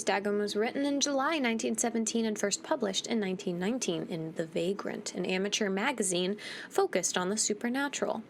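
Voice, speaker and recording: A woman's voice: mid-range voice, vocal fry. Woman gamer with faint background noise. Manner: informal, reacts aloud to the game. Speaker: gaming video host. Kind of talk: casual playthrough commentary and reading on-screen text.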